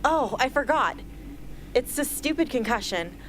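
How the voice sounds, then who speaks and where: A woman's voice: fairly high pitch, animated, measured pace, fairly bright tone, rough recording. Radio play lead: determined teen girl soldier, woman acting, earnest, light background noise. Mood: friendly. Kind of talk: amateur radio drama acting and narration. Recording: hobby recording with ambient noise.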